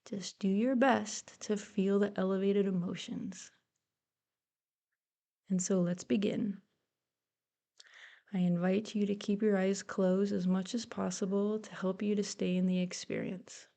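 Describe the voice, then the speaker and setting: A woman leading a guided meditation in a soothing, gentle, unhurried and encouraging way. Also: mid-range voice, level tone, creaky. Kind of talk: guided meditation, soft instructional narration. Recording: soft music behind the voice. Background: meditation music.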